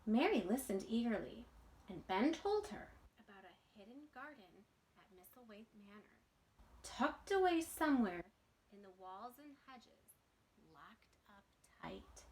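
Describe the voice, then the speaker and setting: A woman reads a children's storybook aloud in a fairly high voice that moves up and down a lot. She takes her time, setting each phrase apart with deliberate pauses, like a teacher reading to young listeners. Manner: expressive, deliberate, pausing between phrases.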